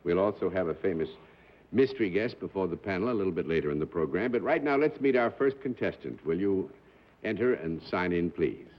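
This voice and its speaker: A man moderating a television panel show, with polished, courtly and gently wry hosting. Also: sits low, expressive, a little fast. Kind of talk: formal, genial game-show hosting. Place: television studio with live audience. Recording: dull 1960s television broadcast audio.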